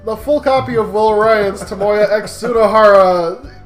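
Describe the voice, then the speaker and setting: Dude co-hosting a let's play, kinda slow talker, teasing, fake exasperated, echoey room. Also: male voice, high-pitched, fairly even intonation. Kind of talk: casual banter. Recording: roomy echo, music underneath.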